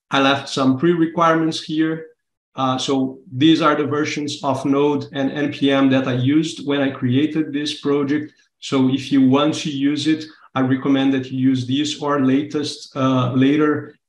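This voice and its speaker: Brazilian man explaining software, echoey room. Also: mid-range voice. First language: Portuguese. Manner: relaxed and explanatory, many "uh" and "um". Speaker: workshop presenter. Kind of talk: live online workshop walkthrough. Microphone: webcam video call.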